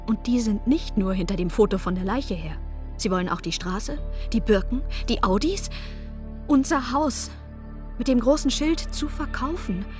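A woman's voice: fairly high pitch, normal speed, soft background music, lightly acted. An actress playing a flustered, self-absorbed mother of small children in a radio drama monologue.

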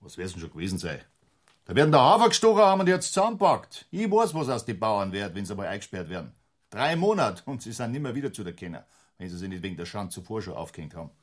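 An actor playing a brusque, sarcastic police superior with a Bavarian accent in a German radio crime drama. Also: male voice, on the low side, sing-song, fast. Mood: dismissive, commanding. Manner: brusque, condescending, commanding.

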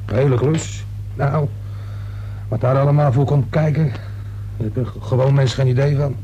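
A man's voice: medium pitch, fairly even intonation, moderate pace, warm tone, a little hiss or hum, close to an everyday voice, rough recording. A man acting a nervous, chatty working-class fellow in a Dutch radio play, hesitant, rambling and colloquial. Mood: gossipy.

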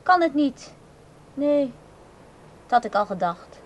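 Timid, high-voiced young-sounding woman. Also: sing-song, full character voice. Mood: disappointed. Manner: hesitant, halting, apologetic. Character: timid, hesitant unworldly woman asking to come along. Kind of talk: radio drama acting. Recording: audibly noisy radio play recording.

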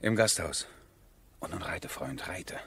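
An actor playing a passionate, headstrong nobleman in love, husky and theatrical, in a 1970s German radio drama. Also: low-pitched, lively intonation, slow pace, exaggerated voice. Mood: feverishly hopeful. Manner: impassioned, theatrical, swinging from hushed to ringing.

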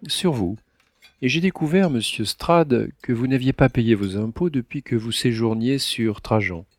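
A man's voice: medium pitch, measured pace, no echo, lightly acted. A man playing a courteous but sly finance minister in an audio drama, formal and politely insistent. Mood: slyly insistent.

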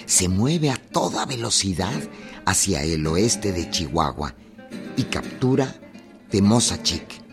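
A man's voice: sits low, very animated, slow, gravelly, over music. A man narrating a historical radio drama in a scripted, dramatic style.

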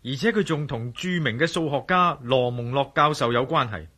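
A shrewd adventurer and amateur sleuth in a radio drama, with a Hong Kong Cantonese accent. His voice sits at a medium pitch. He speaks in a measured, self-assured way with a wry edge. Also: bright, forceful tone. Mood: wry, knowing.

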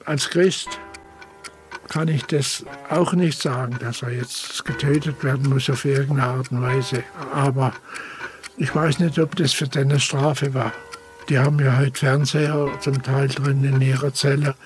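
Earnest, halting man of medium pitch. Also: fairly even intonation, soft background music, rough recording. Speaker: interviewee. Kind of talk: spontaneous spoken testimony.

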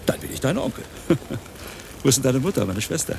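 A man playing an excitable, bumbling uncle in a German radio play, animated and argumentative. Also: mid-range voice, fairly quick, creaky, slight background noise. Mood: genial. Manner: animated, self-assured, argumentative. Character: excitable, bumbling uncle showing his niece around Paris.

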